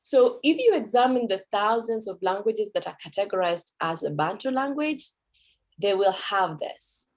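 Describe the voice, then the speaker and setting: A woman's voice: medium pitch, animated, moderate pace. A Kenyan woman whose first language is Kikuyu, giving a conversational talk at a cultural event as a Swahili teacher, with fillers and self-corrections.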